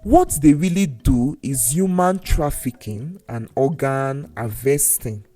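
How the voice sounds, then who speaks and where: A storyteller narrating a scripted folktale in a deliberate, dramatic way, with pauses between phrases. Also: medium pitch, expressive, dark tone. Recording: voice over faint music. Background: faint background music.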